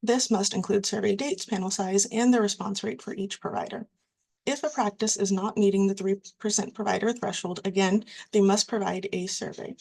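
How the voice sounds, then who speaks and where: A woman presenting a webinar, reading from slides in a steady, scripted way. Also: medium pitch, moderate pace, creaky, poor audio.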